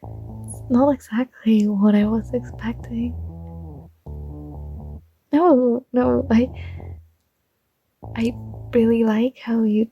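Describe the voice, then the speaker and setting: A young woman playing a shy, smitten student in an intimate ASMR roleplay, speaking softly, hesitantly and tenderly, with some breathiness. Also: a little high, fairly even intonation, takes it slowly, long pauses, muffled recording, soft background music. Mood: tender, playful.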